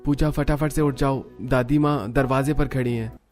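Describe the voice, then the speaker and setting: A man's voice: mid-range voice, fairly even intonation, moderate pace, darkish tone, faint music behind, natural voice. A man voicing a practical young family man in a cartoon domestic story. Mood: urgent.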